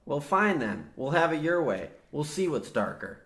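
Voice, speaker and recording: A man's voice: fairly high pitch, big pitch swings, moderate pace, very noisy. An actor playing an exasperated, practical younger man, serious and matter-of-fact, as the straight man in a comic old-time radio horror play. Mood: fed up. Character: exasperated, practical younger man, war veteran trapped underground.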